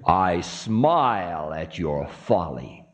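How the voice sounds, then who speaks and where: A man's voice: low voice, big pitch swings, very slow, pitched below the performer's own voice, heavily acted. A man voicing a defiant, mocking demon king with a scornful, theatrical drawl, in dramatic mythic storytelling. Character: Ravana, defiant, mocking twenty-armed male demon king. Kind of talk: dramatic oral storytelling of myth.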